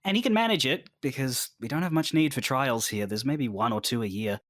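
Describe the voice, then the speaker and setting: A friendly guide to a fantasy world explains things in an audio drama. He is casual and informative, with a very lively pitch and a dry, close sound. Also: fairly quick, no echo. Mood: easygoing.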